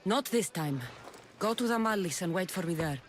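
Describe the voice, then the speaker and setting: A woman's voice: fairly low pitch, lively intonation, normal speed, slight background noise, close to an everyday voice. A woman playing a tough, protective young mercenary in a video game, dry and defiant. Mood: firm, dry.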